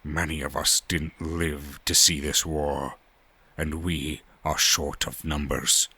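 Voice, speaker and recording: A man playing a weary, regretful war survivor, speaking solemnly and gravely in a husky voice. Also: a bass voice, little pitch movement, slow pace, bright, forceful tone, exaggerated voice. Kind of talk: dramatic character monologue.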